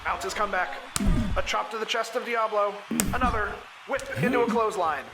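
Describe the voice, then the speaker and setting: A man playing an excitable lucha libre ringside commentator in an audio drama, calling out in dramatic, emphatic bursts. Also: sits high, fairly quick, music in the background. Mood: excited.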